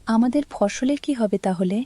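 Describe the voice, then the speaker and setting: A farmer's caring wife played by a woman in a cartoon folk tale, with a dark, mellow voice. She sounds soft and earnest, recorded close and dry over faint music. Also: a little high, moderate pace, dry recording, close to an everyday voice. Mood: worried, despairing. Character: farmer's caring, devout, worried young wife. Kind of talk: cartoon fairy tale acting.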